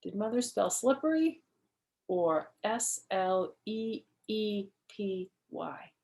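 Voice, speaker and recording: A woman's voice: medium pitch, expressive, takes it slowly, vocal fry, a little mellow. An older woman, a grandmother, reading aloud to children in a chatty storytime style and putting simple questions to her listeners.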